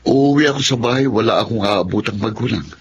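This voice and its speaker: A man's voice: fairly low pitch, quick pace, natural voice. A man playing a lonely, sulky rich student in a Tagalog radio drama, earnest and plaintive, with a Filipino accent. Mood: hurt, plaintive. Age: young adult. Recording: muffled, clearly noisy radio drama.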